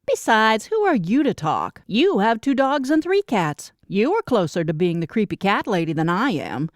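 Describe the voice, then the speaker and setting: A woman's voice: mid-range voice, very animated, normal speed, no echo, lightly acted. A woman voicing a self-conscious but stubborn single woman in audiobook dialogue, with defensive, dry banter.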